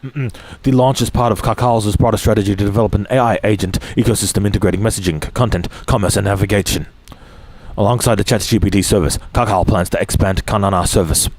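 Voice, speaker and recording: Lowish male voice reading news haltingly. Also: steady tone, darkish tone. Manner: halting, frequent restarts and throat clearing. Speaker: streamer reading news aloud. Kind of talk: reading articles aloud with asides.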